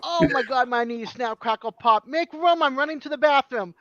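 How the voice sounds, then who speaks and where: Woman, fifties, animated, joking, high voice, dull muffled recording. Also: normal speed, a little hiss or hum. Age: 50s. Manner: animated, joking about her pains. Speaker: chat participant. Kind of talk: casual group chat.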